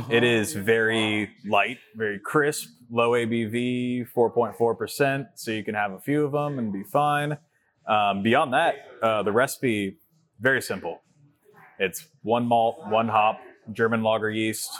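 Low-voiced plain-spoken male brewer. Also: fairly even intonation, unhurried, fairly bright tone. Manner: plain-spoken, explains step by step. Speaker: brewer, guest. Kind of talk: interview answers.

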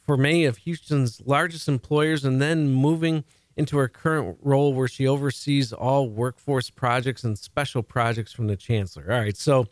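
A man's voice: medium pitch, normal speed, dry recording. A man with a Chicago accent hosting a radio talk show, chatty and jokey, stumbling and restarting now and then.

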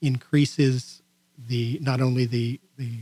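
Man guest, halting with many fillers. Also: mid-range voice, little pitch movement, dark tone, no echo. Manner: filler-heavy, repeating words. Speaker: guest, video producer. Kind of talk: radio interview chat.

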